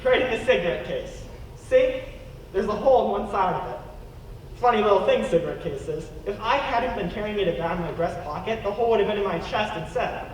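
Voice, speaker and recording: Plucky gentleman fugitive played by a man, polite, clipped and wry, radio play acting recorded distantly. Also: sits high, lively intonation, fairly quick. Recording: distant, echoey hall, noisy.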